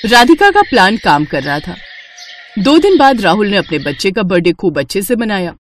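A woman narrating an animated moral story in a scripted storytelling style. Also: medium pitch, normal speed, slight background noise.

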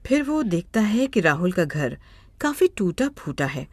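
A woman's voice: on the low side, sing-song, measured pace, no room sound. A woman narrating a scripted moral story as its storyteller.

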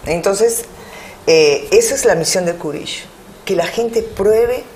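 A woman's voice: medium pitch, slow, slight background noise. A middle-aged woman with a Peruvian accent, answering interview questions in a TV studio, hesitating often with fillers. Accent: Peruvian Spanish. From Peru. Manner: hesitant, many fillers, animated hands. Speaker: business owner guest. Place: TV studio with city backdrop.

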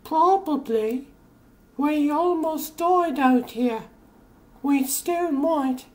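Guy doing a slow talking high teen girlfriend, bossy n exasperated, comic dub. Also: darkish tone, exaggerated voice, rough recording. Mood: anxious. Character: bossy, exasperated teenage girl, sharp-tongued girlfriend. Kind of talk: amateur comic-book dub acting. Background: music.

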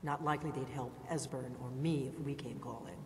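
An actress playing a blunt, impatient warrior in a video game, curt and businesslike. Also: female voice, extremely low pitch, fairly even intonation, fairly quick, darkish tone. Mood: resigned, businesslike. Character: blunt, impatient female warrior, secretive and commanding. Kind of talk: video game character dialogue. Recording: distant, echoey hall with faint noise.

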